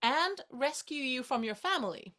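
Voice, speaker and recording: An actress playing a playful, tender young woman in a play reading, gently teasing as she courts her friend. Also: high voice, expressive, moderate pace. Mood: romantic, hopeful. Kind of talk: two-hander stage play reading.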